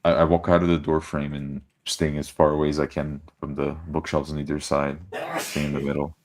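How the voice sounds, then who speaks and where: A man's voice: a bass voice, flat delivery, fairly quick, a little mellow. A man playing a tabletop roleplay game in character, often repeating words as he starts. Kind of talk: in-character roleplay, narrating own actions.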